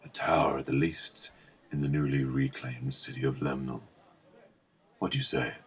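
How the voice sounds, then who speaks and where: An actor playing a gruff, blunt old lord and war veteran in a fantasy audio drama. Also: male voice, a bass voice, steady tone, normal speed, full character voice. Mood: persuasive. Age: older. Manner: blunt, colloquial.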